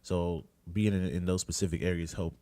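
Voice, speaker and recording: A man from New York hosting a podcast, asking questions in quick, easygoing casual chat. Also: sits low, fairly even intonation, mellow, dry recording. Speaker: podcast host. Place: living room podcast set, indoors. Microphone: boom studio mic.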